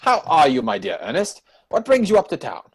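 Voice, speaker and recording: A man acting a witty, idle young gentleman from a period comedy. His voice is slightly husky and swings widely in pitch, with arch, playful self-satisfaction in a theatrical reading. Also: wildly swooping pitch, fairly quick, heavily acted. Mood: breezy, self-satisfied. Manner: mannered, arch, theatrical delivery.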